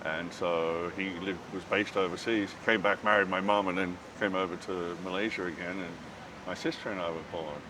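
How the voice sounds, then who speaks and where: A man's voice: sits low, fairly even intonation, moderate pace. A middle-aged Australian man telling stories in a casual interview, rambling and laughing often with lots of "you know"s. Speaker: interview guest. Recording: distant mic with city noise.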